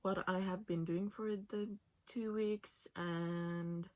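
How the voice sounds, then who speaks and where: A young woman in her twenties from Norway talks in English, her second language, at a slow, unhurried pace. She chats casually, with plenty of fillers, while sitting in her bed at home. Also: on the low side, steady tone. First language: Norwegian. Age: 20s. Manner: chatty, with many fillers. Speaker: knitting podcast host. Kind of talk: casual chat.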